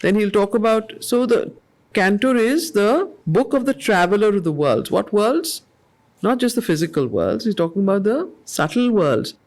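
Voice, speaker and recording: Woman leading a group study, slow deliberate reading of verse, earnest teacherly explanation. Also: medium pitch, very animated, darkish tone. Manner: slow, measured recitation that repeats lines of verse, then conversational explaining; earnest, asks the group questions and answers them herself. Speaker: study circle facilitator. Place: weekly study group gathering.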